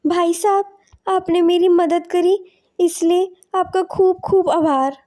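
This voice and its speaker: A woman voicing a grateful, insistent village mother in an animated moral story, polite and earnest. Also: very high voice, takes it slowly.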